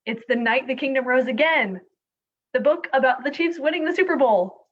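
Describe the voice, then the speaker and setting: A woman's voice: high voice, normal speed, highs cut off. A woman hosting an online children's story time, giving an introduction and putting questions to young viewers. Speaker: library story time host.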